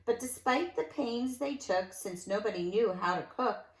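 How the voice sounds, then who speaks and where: A woman reading a picture book aloud to young children in an expressive storytelling style, with dramatic emphasis and conversational asides. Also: fairly high pitch, normal speed. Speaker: nursery school teacher reading a storybook aloud. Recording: echoey room sound.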